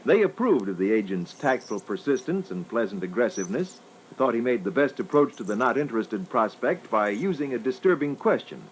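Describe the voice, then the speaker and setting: A man's voice: mid-range voice, very animated, brisk. A man moderating a sales training record with scripted instructional narration. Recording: faintly noisy old reel-to-reel training record.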